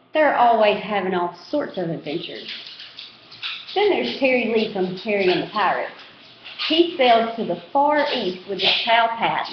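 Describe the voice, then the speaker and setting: A woman giving an animated read-aloud of a children's novel as its narrator. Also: mid-range voice, a little hiss or hum. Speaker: reader. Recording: roomy echo, slightly dull sound.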